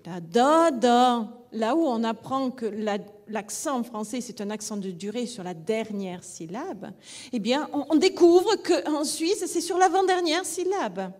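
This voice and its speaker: A woman's voice: on the high side, measured pace, darkish tone. A woman giving a conference talk on pronunciation as a phonetics trainer, explaining patiently and tapping out rhythms with syllables. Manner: demonstrative, sings rhythm syllables to illustrate; explanatory, restarts phrases. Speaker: phonetics trainer and school director.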